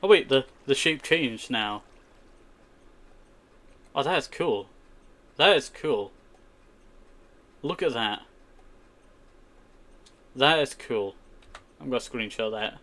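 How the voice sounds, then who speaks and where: A man's voice: mid-range voice, expressive, takes it slowly, pauses often, a bit bright. A man who is a native Scottish Gaelic speaker from Scotland, giving chatty, rambling live gaming commentary and often repeating short phrases. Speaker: streamer.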